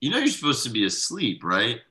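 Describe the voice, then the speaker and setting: Man playing a bright-voiced, easygoing tired dad. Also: medium pitch, huge pitch swings, a little fast. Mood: gentle, explaining. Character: exhausted, easygoing 37-year-old slacker dad. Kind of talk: naturalistic comedy table read.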